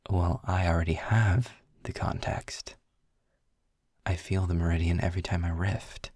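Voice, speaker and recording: A man playing a sarcastic, guarded demon guide in an intimate audio roleplay, speaking in dry, measured phrases with a breathy, gravelly edge, close on the microphone. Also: steady tone, a little mellow, no room sound. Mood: patient, explaining. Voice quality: husky, breathy, gravelly low voice. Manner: dry, measured, halting with pauses. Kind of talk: intimate scripted audio roleplay, slow and deliberate. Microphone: close studio-style microphone, dry.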